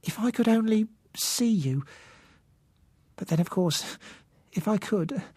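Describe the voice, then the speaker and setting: A man's voice: sits high, animated, takes it slowly, a little mellow, breathy edge, no room sound, pitched above the performer's own voice, heavily acted. A man voicing a timid, faltering eighteen-year-old blind young woman in an audiobook, speaking in a hesitant, pleading way. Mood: wistful, hopeful. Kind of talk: audiobook character dialogue.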